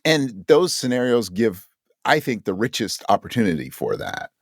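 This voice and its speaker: A man's voice: mid-range voice, big pitch swings, normal speed, no room sound, studio quality. A man speaking as an interview guest, conversational and thoughtful, often searching for words and correcting himself. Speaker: interview guest, audio drama writer.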